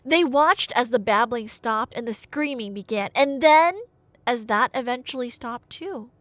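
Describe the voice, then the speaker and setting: A woman with a high, swooping voice tells a myth in an expressive, chatty way. She takes her time, dropping wry asides into the drama. The sound is close and dry. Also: unhurried, no room sound. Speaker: storyteller. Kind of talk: dramatic casual retelling of myth, roleplay audio.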